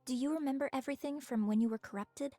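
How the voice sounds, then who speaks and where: A woman plays a composed female researcher in a game drama, her voice high and poised. She probes with a curious, clinical interest that hides some menace. It sounds dry and close, over light music. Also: fast, mellow, no echo, lightly acted. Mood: probing. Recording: music behind the voice.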